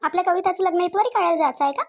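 A woman's voice: very high-pitched, very fast. A woman voicing a lonely older village mother in a cartoon, nagging and repetitive, with a Varhadi Marathi accent from Vidarbha. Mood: practical, chatty. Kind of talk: cartoon family drama dialogue.

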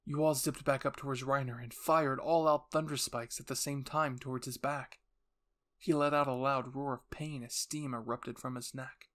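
A man's voice: mid-range voice, steady tone, moderate pace, a bit bright. Man narrating a dramatic story. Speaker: narrator and voice actor.

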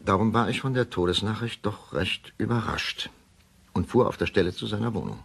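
An actor playing a middle-aged doctor who gives a measured, formal account in a radio play. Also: male voice, lowish voice, gravelly, husky. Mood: sober, factual. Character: weary, measured middle-aged male doctor writing his confession. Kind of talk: German radio play monologue, formal report.

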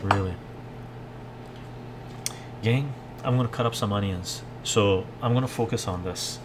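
A middle-aged man with a lowish voice hosts a casual cooking livestream. He muses in a halting way as he reads out comments and answers them. Loud kitchen noise, with chopping and clatter, runs behind his talk. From Canada, born in Iran. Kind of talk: casual livestream chat while cooking, reading viewer comments. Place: home kitchen, indoors.

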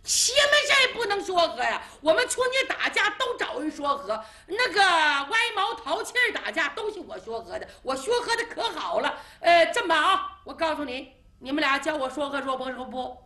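A woman voicing a cheeky, bossy little boy who appoints himself peacemaker, talking fast and boastfully. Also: high voice, sing-song, bright, forceful tone, pitched above the performer's own voice, exaggerated voice. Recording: roomy echo.

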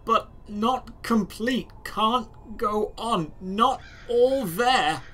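A man voicing a desperate middle-aged male ghost who whines in slow, broken, pleading phrases. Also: high voice, animated, sharp tone, pitched above the performer's own voice, full character voice. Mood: anguished. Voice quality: whining.

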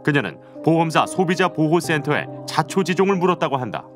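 A man's voice: a little high, very animated, fairly quick, over music. A man reading scripted narration for an investigative programme as its narrator.

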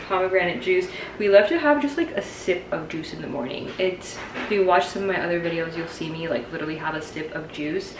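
A young woman with a slightly low voice chats through her groceries, explaining each item. She is recorded from a few steps away in a home kitchen that rings with echo, and there is audible noise behind her. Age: young adult. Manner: chatty, explains as she goes, moves around the kitchen. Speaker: vlogger and resident doctor. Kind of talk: casual grocery haul chat. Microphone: camera on the counter, at times a few steps away. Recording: echoey kitchen, mic at a distance, background noise.

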